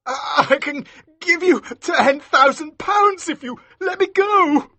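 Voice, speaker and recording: A man's voice: sing-song, unhurried, sharp tone, slightly rough, dry recording, full character voice. A man voicing a pompous, cowardly magistrate who shrieks and begs in a shaky voice. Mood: pleading. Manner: shrill, shaky, begging. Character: cowardly, pompous male magistrate, panicky and pleading. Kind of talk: audiobook character voice.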